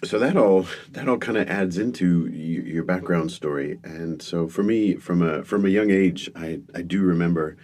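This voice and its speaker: A man's voice: low voice, normal speed, few pauses, a little mellow. A middle-aged man, born in the UK to American parents, telling self-deprecating stories as a guest in an interview. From born in the United Kingdom to American parents. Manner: self-deprecating, wry storytelling with frequent restarts. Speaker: guest speaker and comedian. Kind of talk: relaxed storytelling interview.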